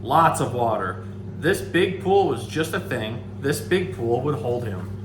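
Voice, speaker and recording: Animated man reading aloud in an echoey room. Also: mid-range voice, unhurried. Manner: expressive, acts out the dialogue. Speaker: storyteller reading a children's book. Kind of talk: animated read-aloud for children with casual asides to a pet turtle. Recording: echoey room, background hum.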